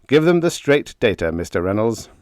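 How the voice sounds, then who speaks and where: A man voicing a gruff, bold starship commander who barks crisp military orders in an audiobook story. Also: fairly low pitch, big pitch swings, normal speed, a little mellow, dry recording, clean studio sound. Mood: confident, commanding. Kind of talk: dramatised audiobook character dialogue.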